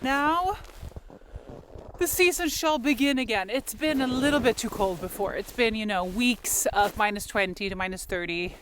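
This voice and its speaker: A woman's voice: a little high, moderate pace, a little hiss or hum. Chatty Swedish woman vlogging outdoors. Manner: chatty, laughs often, animated. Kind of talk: casual vlog chat. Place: outdoors in arctic cold.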